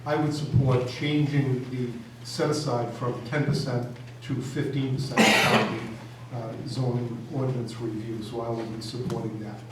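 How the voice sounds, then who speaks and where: A man's voice: mid-range voice, little pitch movement, measured pace. A man giving a policy statement as a candidate at a public forum. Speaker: candidate for city office. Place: large indoor public forum room. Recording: echoey hall, distant mic, loud noise.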